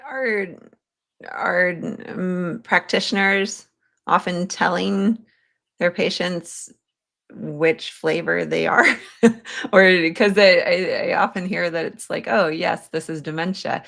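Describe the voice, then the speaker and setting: A woman hosting a conversational interview, thinking aloud in a halting way as she forms her questions. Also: fairly low pitch, fairly even intonation, creaky, a bit bright. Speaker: podcast host.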